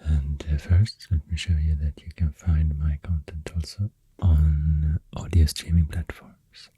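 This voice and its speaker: A person with a Swedish accent speaking softly in ASMR style, mostly whispering, in a hushed, unhurried way with hesitant pauses, close to the microphone. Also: very deep voice, level tone, husky, dry recording. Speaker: ASMR video host. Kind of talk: soft-spoken ASMR, rambling.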